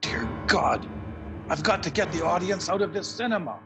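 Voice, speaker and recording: Loud music sits under an echoey recording of an earnest police agent acted by a man. His voice is high for a man, urgent and official, in radio play style. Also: expressive, normal speed, gravelly. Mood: urgent, alarmed. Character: earnest, urgent Scotland Yard special agent, male. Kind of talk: live radio play acting.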